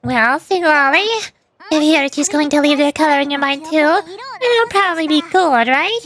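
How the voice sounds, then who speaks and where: A woman voicing a small, excitable floating fairy companion from a game, childlike and whiny, who talks in the third person. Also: high voice, normal speed. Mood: puzzled, curious.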